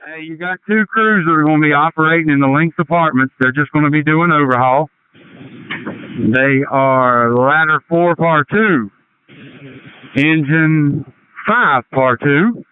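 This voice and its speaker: A man with a smooth, slightly high voice relays crew information over a tinny two-way radio. He explains things informally, in fireground radio style. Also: a little slow. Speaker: accountability officer on fire radio.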